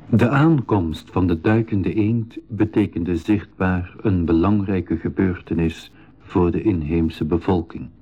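A man acting as the narrator of an expedition adventure, telling it in a measured, formal radio drama style. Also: low-pitched, dark tone, lo-fi sound, a little hiss or hum. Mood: recounting. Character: male narrator recounting his expedition adventure.

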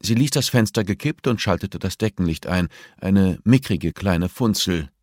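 A man's voice: sits low, dry recording, studio quality. A man reading a novel as scripted audiobook narration.

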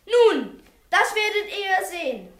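A woman's voice: very high-pitched, sing-song, slow pace, fairly bright tone, heavily acted. A woman playing a small, defiant schoolboy in a radio play, insistent and emphatic. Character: small, defiant schoolboy fed up with being called coward. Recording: echoey room.

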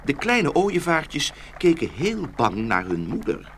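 A man's voice: a little high, wildly swooping pitch, slow pace, a little hiss or hum. A man narrating a scripted fairy tale for children as the storyteller of a radio play.

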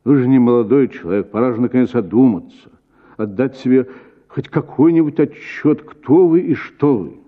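An actor playing an irritated, blunt gentleman in a radio story, scolding in a curt, exasperated manner. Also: mid-range voice, normal speed, phone-quality sound, pitched above the performer's own voice, lightly acted. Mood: angry scolding.

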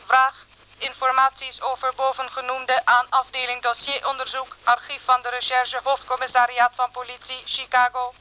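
An actress playing an impersonal clerk in a radio drama, reading out official messages in a clipped, formal monotone. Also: female voice, sits high, moderate pace, poor audio. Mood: officious, flat. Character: impersonal woman clerk reading out official police telegrams and memos. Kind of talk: radio drama, flat bureaucratic read-out.

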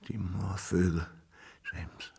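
Ein Schauspieler spielt in einem Hörspiel einen gebrochenen, in sich gekehrten Mann, der stockend und heiser raunend in Bruchstücken spricht. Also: male voice, low-pitched, level tone, darkish tone, exaggerated voice. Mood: haunted. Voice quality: husky, gravelly whisper-like rasp. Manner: halting, fragmentary, repetitive. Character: withdrawn, broken man muttering after years of silence. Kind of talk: German radio play acting.

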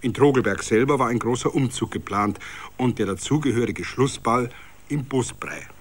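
A man's voice: mid-range voice, moderate pace, husky. A man narrating a literary story for radio.

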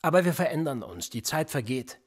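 An actor playing a calm, manipulative male police interrogator in a radio play, pressing with pointed questions. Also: on the high side, fairly quick, breathy edge. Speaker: police officer.

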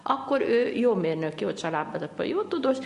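A woman's voice: medium pitch, big pitch swings, gravelly. A middle-aged woman from Hungary, a linguist being interviewed on the radio, explaining at length with many hesitations and restarts. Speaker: linguist guest.

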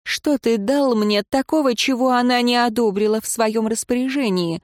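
A woman playing a shrill, defiant female prisoner. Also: moderate pace, no echo, clean studio sound, natural voice. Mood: mocking. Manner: high, shrill, sneering.

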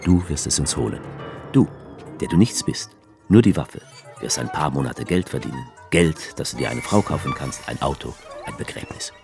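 A grim male writer recounts a staged war in a radio play. His voice sits extremely low, and the delivery is stark and incantatory. Music plays clearly beneath him. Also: huge pitch swings, normal speed, heavily acted. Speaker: author character narrating. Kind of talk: radio drama narration. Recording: music under the voice.

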